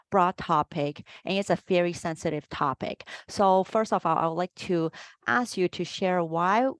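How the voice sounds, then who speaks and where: Woman from hong kong, life coach host, chatty and rambly, kinda low voice. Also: dry recording. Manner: chatty, many fillers and restarts. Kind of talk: casual conversational interview. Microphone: video call.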